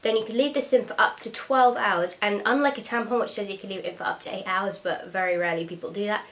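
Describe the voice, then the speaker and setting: Young woman, slightly hoarse from a cold, frank and chatty vlogger, mid-range voice. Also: medium pitch, fairly even intonation, fast, fairly bright tone. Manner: chatty, frank and practical, with frequent ums. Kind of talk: casual instructional vlog.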